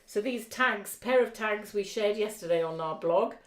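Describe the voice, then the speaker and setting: A woman's voice: medium pitch, moderate pace. A woman co-hosting a casual live craft show, chatting in an encouraging, appreciative way. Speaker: co-host of a craft live stream. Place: indoor craft room. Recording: echoey room.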